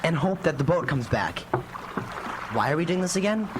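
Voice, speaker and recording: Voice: medium pitch, animated, moderate pace, natural voice. An actor in a radio drama playing a nervous, exasperated young brother with a hesitant manner. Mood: grumbling, doubtful. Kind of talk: live radio drama acting. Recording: noisy live radio broadcast, vehicle-like sound effects.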